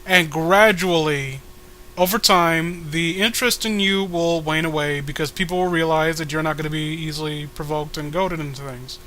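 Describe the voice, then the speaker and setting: A man playing a mild, reasonable young website owner and troll in a comic reading, explaining things in a measured, hesitant way. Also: fairly high pitch, pushed and bright, slight background noise, low-quality recording. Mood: calm, reasonable.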